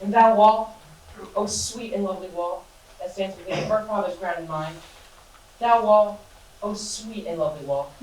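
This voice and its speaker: A woman's voice: mid-range voice, close to an everyday voice. A woman playing Pyramus, a bombastic lovesick hero. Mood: lamenting. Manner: declaiming, mock-tragic, laughing through lines. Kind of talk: amateur stage comedy acting. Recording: echoey room from far off, faint noise.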